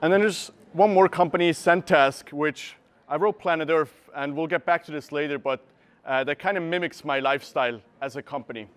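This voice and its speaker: A young man from Switzerland, giving an earnest presentation and often pausing to search for words, recorded from a camera across a room. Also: a little high. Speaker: speaker. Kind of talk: presentation talk. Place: gallery room with a seated audience.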